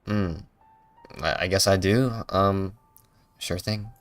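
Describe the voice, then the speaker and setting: A man streams a game in a fairly low voice. He comments casually and muses out loud, working through his thoughts. Faint game music sits behind him. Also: steady tone, slow pace. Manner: thinking aloud, musing.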